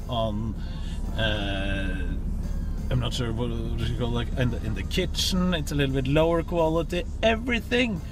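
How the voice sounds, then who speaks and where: A man, chatting earnestly and haltingly in a car at night. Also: mid-range voice, music in the background. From Norway. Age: 30s. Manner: earnest, halting, laughs often. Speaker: streamer. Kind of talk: casual chat. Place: in a car at night, then outside a store.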